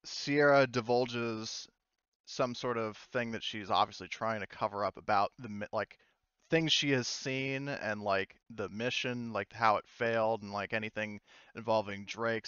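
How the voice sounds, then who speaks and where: A man narrating a tabletop game as game master, talking casually and haltingly with some swearing. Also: fairly low pitch, steady tone, a bit bright, smooth, no room sound, dull audio.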